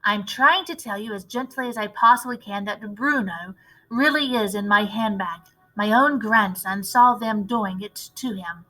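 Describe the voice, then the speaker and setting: A woman voicing a determined elderly grandmother in a storybook, tactful and measured but firm. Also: mid-range voice, pushed and bright, poor audio. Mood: insistent. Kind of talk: storybook read-aloud character voice.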